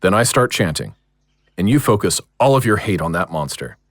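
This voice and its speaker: An actor playing a commanding, dry-witted monster-hunting team leader in an audio drama. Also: male voice, low voice, sing-song, moderate pace, no echo, heavily acted. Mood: focused briefing. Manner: explains in lore-heavy technical terms.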